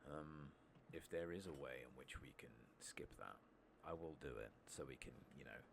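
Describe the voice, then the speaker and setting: A man giving soft-spoken ASMR game commentary in a hushed voice, musing unhurriedly in short trailing phrases, close to the microphone. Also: a bass voice, normal speed. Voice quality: soft, hushed, breathy at times. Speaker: streamer playing an online fantasy game. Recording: steady clear background noise.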